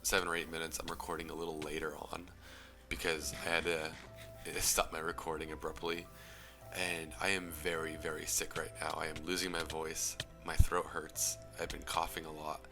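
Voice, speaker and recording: A young man with a very low voice speaks earnestly and reflectively, his phrases often trailing into soft, breathy whispers. Clear background music plays beneath him throughout. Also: flat delivery, normal speed. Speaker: YouTube commentator. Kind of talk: earnest personal commentary. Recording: music playing under the voice.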